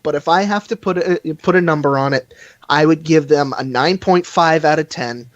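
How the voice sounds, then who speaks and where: A man's voice: fairly high pitch, moderate pace, no room sound, lo-fi sound. A man giving a casual podcast review over an internet call, chatty and rambling as he recommends things with enthusiasm. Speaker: podcast panelist reviewing a fan audio drama.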